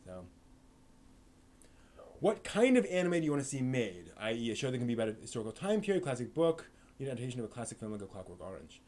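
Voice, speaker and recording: A man talks casually on a live stream, answering the chat in a loose, rambling way. He trips over his words, restarts often and leans on fillers as he thinks aloud. His pitch sits right in the middle for a man. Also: sing-song, fast talker, a little mellow.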